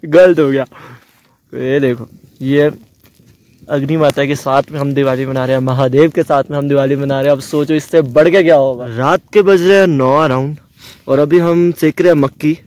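Excited young man vlogging outdoors. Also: on the high side, moderate pace. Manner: excited, chatty, calls out to viewers. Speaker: travel vlogger. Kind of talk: casual camping vlog. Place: outdoors on a mountain meadow by a campfire. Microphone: action camera.

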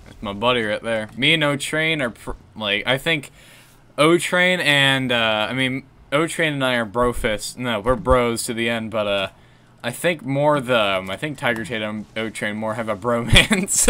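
A young man with a deep voice chatting on a gaming stream, low-key and rambling with fillers and restarts. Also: expressive, normal speed, pushed and bright, smooth. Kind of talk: casual gaming stream chat.

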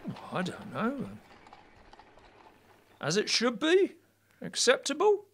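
A woman's voice: fairly high pitch, huge pitch swings, a little hiss or hum, heavily acted. A woman acting a fussy, easily flustered night-watch town crier in a comic audio play, prim and indignant. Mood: puzzled, protesting. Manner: prim, indignant, calls out the hour in drawn-out cries.

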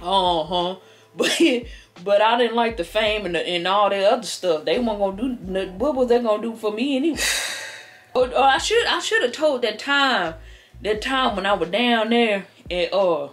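A woman's voice: medium pitch, animated, moderate pace, close to an everyday voice. A woman playing a sassy old American grandmother, stammering and repeating herself as she tells folksy tall tales on camera. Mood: defensive, sassy. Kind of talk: improvised comedic storytelling. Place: living room couch, indoors. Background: background music.

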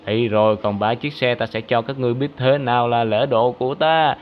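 A man voicing a big, vengeful toothy monster with gloating, drawn-out threats in a children's cartoon. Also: medium pitch, steady tone, narrow, tinny audio, slight background noise, lightly acted. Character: big blue long-tongued toothy monster, vengeful, cruel.

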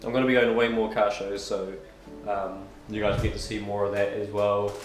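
A young man, croaky with a cold, chatting upbeat to camera in his living room, filming at arm's length. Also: on the low side, flat delivery, fairly quick, faint music behind, low-quality recording. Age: young adult. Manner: chatty, upbeat, filler-heavy. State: hoarse with a cold. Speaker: vlogger. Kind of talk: casual vlog chat to camera. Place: living room at home, indoors.

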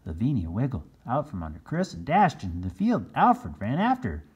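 A man narrating a children's storybook aloud in an expressive read-aloud style. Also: mid-range voice, brisk, breathy edge, dull audio. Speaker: storybook reader.

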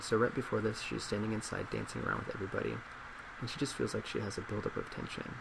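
A man in his twenties in a soft-spoken ASMR role play as a psychologist, measured and reflective. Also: fairly low pitch, creaky. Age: 20s. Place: small indoor office at a desk. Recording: steady loud laptop fan hiss.

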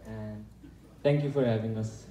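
A man's voice: on the low side, fairly even intonation, unhurried, very noisy. A man giving a brief, informal public self-introduction. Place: large indoor hall at a podium. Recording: echoey hall, distant and muffled.

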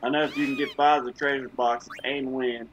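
A man's voice: medium pitch, level tone, fairly quick, fairly bright tone, slight background noise, poor audio. A man giving casual, laid-back gameplay commentary as a gaming video host, with informal, slangy phrasing. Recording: game music behind the voice. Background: video game music.

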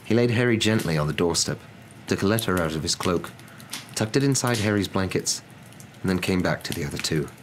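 Young male storybook narrator, ASMR style, very low register, faint noise behind. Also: expressive, a little fast, low-quality recording. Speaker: narrator reading a novel aloud. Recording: noticeable background noise.

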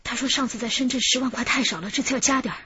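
An actress playing a frightened, pleading young accomplice in a radio crime drama, desperate to be spared. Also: female voice, racing, pushed and bright, husky, no echo, exaggerated voice. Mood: subdued, confessing. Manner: tearful, pleading, shrill when upset. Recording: slightly dull radio drama recording.

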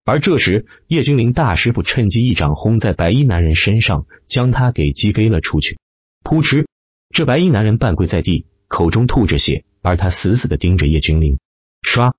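Male audiobook narrator, animated dramatic delivery, lowish voice, close dry sound with dulled top end. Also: normal speed, breathy edge, no room sound, lo-fi sound. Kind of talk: scripted dramatic narration of fantasy web novel.

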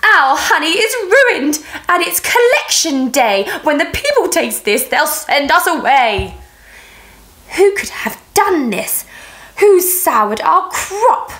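A woman voicing an angry, bossy queen bee in a storybook reading, speaking loudly and sharply. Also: high voice, big pitch swings, slow, voice pushed up, full character voice. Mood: alarmed. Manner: loud scolding delivery.

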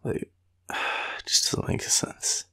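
A tired young Australian man murmuring an affectionate, rambling boyfriend roleplay in a deep, gravelly, breathy voice. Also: slow, sharp tone. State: tired, sleepy. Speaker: boyfriend roleplay audio performer. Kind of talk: intimate sleepy roleplay, ASMR-like rambling.

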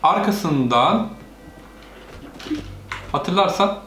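A woman's voice: lowish voice, expressive, very slow, fairly bright tone, soft background music. A woman casually commentating on a video game as she plays with a child, often prompting with questions. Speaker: gaming video host playing with a child. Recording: roomy echo, faint background noise.

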